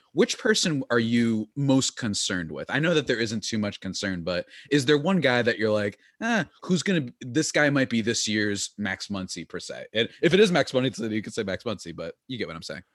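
A man co-hosting a casual sports podcast, animated and quick to laugh, often mimicking fans in exaggerated voices. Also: medium pitch, no echo, studio quality.

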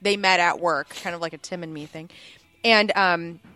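A woman radio DJ with a St. Louis accent, telling stories in a quick, enthusiastic casual chat. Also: on the low side, fairly even intonation, pushed and bright, no echo. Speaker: radio DJ, host.

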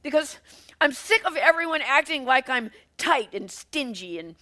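A woman voicing Florence, an exasperated, worn-out middle-aged woman who rants and shouts about money and sacrifice. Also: high-pitched, expressive, moderate pace, pitched above the performer's own voice.

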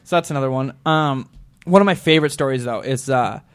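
Fast-talking man telling bar stories. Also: medium pitch, smooth. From Montana. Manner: rambling, laughs through his stories. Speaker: show host. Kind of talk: casual storytelling chat.

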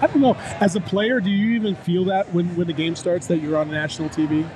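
A man's voice: high-pitched, steady tone, fast talker, crowd noise. A man co-hosting a sports podcast who is losing his voice, chatting loosely and jokingly with slang. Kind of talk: casual sports chat. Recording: noisy stadium setting.

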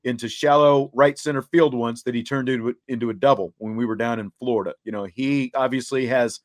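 A man's voice: mid-range voice, steady tone, a little fast. A man hosting a sports talk show, chatting casually in conversation.

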